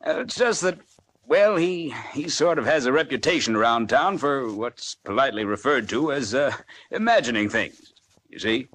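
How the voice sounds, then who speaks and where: An actor playing a small-town newspaper editor in a radio serial, reassuring yet evasive and a little condescending. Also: male voice, mid-range voice, expressive, moderate pace, fairly bright tone. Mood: hesitant, confiding. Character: smooth-talking, dismissive male small-town newspaper editor. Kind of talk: 1940s radio serial acting.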